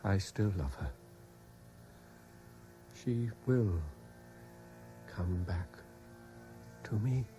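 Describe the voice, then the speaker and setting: Tormented lovestruck man, deep and dramatic. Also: animated, dark tone, faint music behind, heavily acted. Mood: broken, yearning. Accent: British. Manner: brooding, deliberate, intensely emotional. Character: tormented, obsessively lovestruck man confessing his cowardice. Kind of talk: BBC radio drama acting. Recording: hissy 1970s radio broadcast recording.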